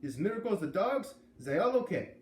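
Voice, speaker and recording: A man's voice: high voice, big pitch swings, normal speed, voice pushed up. An irate German dog trainer from a storybook, acted out by a man, blustering and emphatic in broken English. The voice swings up and down and sounds in an echoey room. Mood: indignant, scheming. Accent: put-on German. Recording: echoey room, faint background noise.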